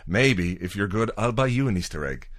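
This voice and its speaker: A man hosts a podcast from home late at night, chatting loosely and wandering off on asides. His voice sits low but swings widely in pitch. The sound is dry and close. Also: expressive, a little fast, dry recording. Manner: rambling and loose, many fillers and asides. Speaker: podcast host.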